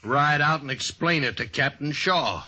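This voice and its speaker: Sharp, bitter cavalry soldier. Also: male voice, on the high side, animated, moderate pace, husky, heavily acted. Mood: distrustful. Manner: curt, sardonic, clipped. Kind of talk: old-time radio western acting. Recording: faintly noisy vintage radio recording.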